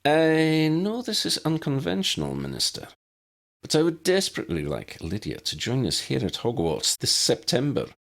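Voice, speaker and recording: A man voicing a stern, elderly head teacher woman in an audiobook, brisk, formal and wry in manner. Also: mid-range voice, wildly swooping pitch, gravelly, pitched above the performer's own voice. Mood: earnest. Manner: proper, schoolmistressly, pronouncing.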